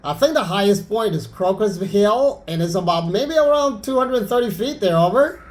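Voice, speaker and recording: High-pitched man on ham radio. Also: expressive, normal speed, a little hiss or hum. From Anguilla, Caribbean. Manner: friendly, informal, says "man" and "over" often. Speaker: amateur radio operator. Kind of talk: casual ham radio rag-chew.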